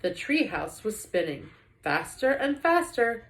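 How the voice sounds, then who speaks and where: Woman, storybook read-aloud, lively varied intonation, dramatic emphasis, roomy echo. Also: on the high side, a little slow. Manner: animated, dramatic emphasis for young listeners. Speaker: teacher reading a children's book aloud. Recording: echoey room.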